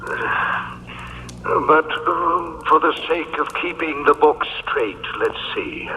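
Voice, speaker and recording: An officious clerk of the afterlife in an old radio drama. He talks very slowly and hesitantly in a bright, pinched tone, over a faintly noisy broadcast recording. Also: fairly high pitch, expressive, full character voice. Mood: reluctant, officious. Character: fussy male heavenly bureaucrat, reluctant, dryly officious. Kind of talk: 1970s radio drama acting.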